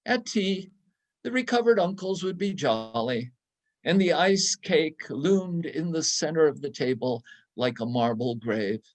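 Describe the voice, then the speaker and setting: A man giving a dramatic literary reading of a nostalgic story, savouring each descriptive phrase in a deliberate manner. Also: fairly high pitch. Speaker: reader in a readers theatre.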